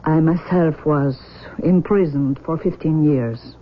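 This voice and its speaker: Radio drama: obsessive, proud Russian mother, about forty. Low-leaning voice, grand emphatic delivery, dulled vintage recording. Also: muffled recording. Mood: grave, bitter. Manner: dramatic, emphatic, deliberate declarations. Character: intense, imperious forty-year-old Russian mother, obsessed with her cloned daughter. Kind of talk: 1970s radio drama acting.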